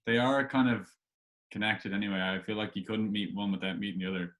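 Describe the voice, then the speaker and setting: A man's voice: on the low side, fairly even intonation, a little fast, fairly bright tone. A man hosting a casual interview, asking questions hesitantly and rephrasing them as he goes. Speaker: podcast host, interviewer.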